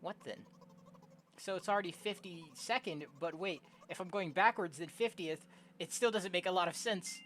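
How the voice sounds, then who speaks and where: A young woman casually commenting on a game and reading its text aloud in a slangy, expressive way. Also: fairly low pitch, normal speed, slight background noise. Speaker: streamer playing a game. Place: own room at home.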